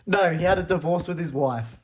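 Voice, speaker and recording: A man's voice: fairly high pitch, a little fast, noisy background. A young man co-hosting a podcast, chatting casually, laughing often and swearing. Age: young adult. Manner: laughing, playful, tells stories and swears. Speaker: podcast co-host. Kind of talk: casual chat between friends.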